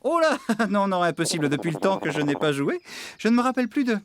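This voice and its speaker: A man's voice: high-pitched, sing-song, quick pace, noisy background. A man voicing a friendly, enthusiastic farmhand in a children's cartoon, exclaiming expressively. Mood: cheerful, bashful. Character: friendly, enthusiastic male farmhand who plays guitar. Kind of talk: animated children's cartoon dub.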